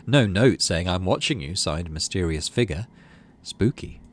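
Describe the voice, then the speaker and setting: A man's voice: low-pitched, expressive, measured pace, a little hiss or hum. A man doing let's play commentary on a game, reading narration aloud and thinking through choices in tentative asides. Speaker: gaming video host.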